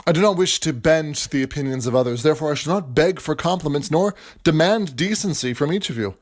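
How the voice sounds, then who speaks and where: A man acts a self-doubting playwright in a period audio drama. His voice sits a little high for a man. He speaks formally and earnestly, with measured melancholy. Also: animated. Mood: composed, polite.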